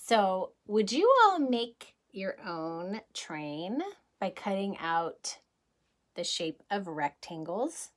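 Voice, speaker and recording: A woman kindergarten teacher reading a rhyming picture book aloud in a slow, sing-song way, pausing to ask the children gentle questions. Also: mid-range voice, expressive.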